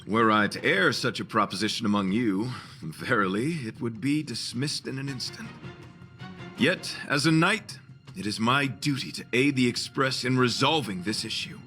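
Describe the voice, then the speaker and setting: A man's voice: mid-range voice, lively intonation, moderate pace, sharp tone, faint music behind. A man voicing an earnest, flowery knight in a video game, formal and pompous. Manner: pompous, formal, archaic wording. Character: courtly, earnest, flowery knight, theatrically noble.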